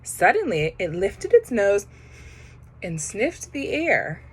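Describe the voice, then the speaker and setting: A woman reading a children's picture book aloud, pausing to comment on the pictures. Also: mid-range voice, huge pitch swings, breathy edge. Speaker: storybook reader.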